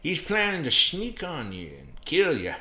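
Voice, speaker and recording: A man voicing a dramatic, deceitful American man in a story, declaiming in a drawn-out, bombastic way. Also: fairly high pitch, huge pitch swings, bright, forceful tone, pitched above the performer's own voice, heavily acted. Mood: urgent, grave. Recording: roomy, echoing sound.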